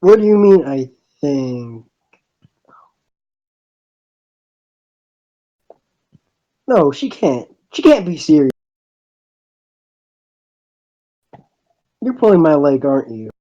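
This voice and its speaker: A man playing a bewildered young male student in a visual novel dub, narrating and speaking with a halting, hesitant delivery. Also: on the high side, big pitch swings, warm tone, no echo, muffled recording. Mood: incredulous.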